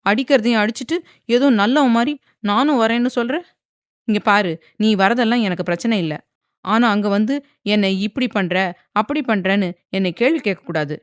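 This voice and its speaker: A woman voicing a fiery, defiant young wife who talks in a sharp, scolding way. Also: mid-range voice, normal speed, dry recording, clean studio sound, lightly acted. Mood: furious.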